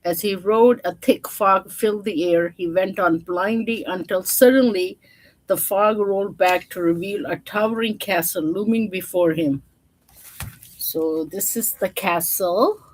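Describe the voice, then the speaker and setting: A woman reads a children's picture book aloud at a slow, unhurried pace. She moves between narration and casual explanation, chatting to her listeners about the pictures. Her pitch sits in a middle range for a woman. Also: slight background noise, low-quality recording. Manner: informal, chatty asides to listeners, showing pictures. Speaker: storyteller.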